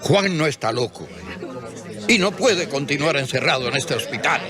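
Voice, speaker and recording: A man's voice: a little high, lively intonation, moderate pace, over music, voice pushed up, lightly acted. A man doing a solemn, commanding preacher. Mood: firm. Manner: firm, solemn. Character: Juan de Ávila, authoritative preacher.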